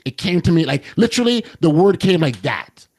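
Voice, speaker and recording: Middle-aged male podcast host, loud and earnest, slightly higher pitch, stumbles and restarts often. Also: very animated, a little fast, slightly rough. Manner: earnest, many restarts and repeated words. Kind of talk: casual interview chat.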